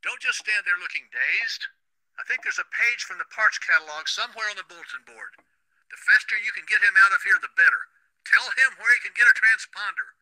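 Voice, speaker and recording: Game role: impatient radio station boss, high-pitched, very sharp and forceful. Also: male voice, animated, a little fast, heavily acted, low-quality recording. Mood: bossy. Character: jumpy, bossy, impatient radio station man. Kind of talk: VR game radio-drama acting.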